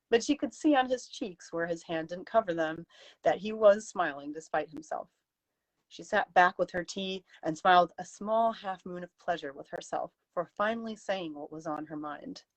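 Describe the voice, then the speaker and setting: A woman author on a livestream, reading her story and chatting about it casually. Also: lowish voice. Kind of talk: story narration and casual chat.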